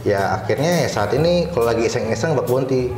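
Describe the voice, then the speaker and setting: A man's voice: mid-range voice, expressive, fast talker. A man with a colloquial Jakarta Indonesian accent, chatting informally and telling anecdotes as an interview guest. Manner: informal, slangy storytelling. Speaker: interview guest, cyber security supervisor. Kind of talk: casual chat, telling anecdotes. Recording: roomy echo with faint music underneath.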